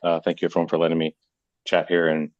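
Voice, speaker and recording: A man who is a muralist, hoarse from being under the weather, presenting to a committee and pausing often to search for words. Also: sits low, completely flat delivery, darkish tone, no room sound, dull audio. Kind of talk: meeting presentation.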